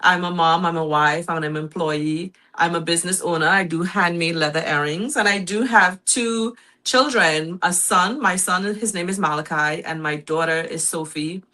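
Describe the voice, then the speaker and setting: Bright-voiced Trinidadian woman. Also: on the low side, fairly even intonation, moderate pace, hardly any pauses. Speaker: guest. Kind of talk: casual talk show chat over phone.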